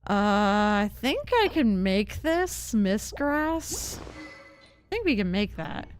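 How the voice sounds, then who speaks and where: Woman, casual livestream chat, expressive swooping pitch, slowish pace, thinking aloud, soft game music. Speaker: game streamer.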